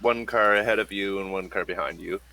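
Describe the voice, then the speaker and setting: Low-voiced man running a tabletop game. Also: fairly quick, creaky, sharp tone. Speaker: game master. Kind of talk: casual tabletop game narration.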